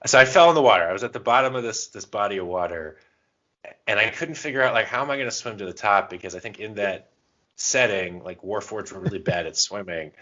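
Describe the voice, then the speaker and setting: A man co-hosting a podcast, telling gaming anecdotes in a casual, animated, self-mocking way with some loose swearing. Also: fairly low pitch, fast, dull audio. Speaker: podcast co-host.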